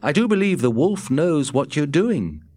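Male narrator playing a high-pitched, anxious, nagging helper; fretful and pushy. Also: big pitch swings, measured pace, a little mellow, dry recording, clean studio sound. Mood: worried. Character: fretful, talkative man, cabin helper.

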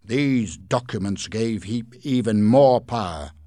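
A man voicing a proud, pompous gentleman in a storybook reading, speaking loudly, formally and declamatorily. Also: on the low side, slow, no echo, studio quality, voice pushed up. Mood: declaiming. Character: proud, pompous middle-aged gentleman clerk.

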